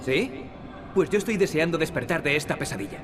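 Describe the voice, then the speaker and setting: Man voicing a wry young adventurer, lively pitch swings. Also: a little fast, lightly acted. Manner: sardonic, teasing. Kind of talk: video game dubbing in Spanish.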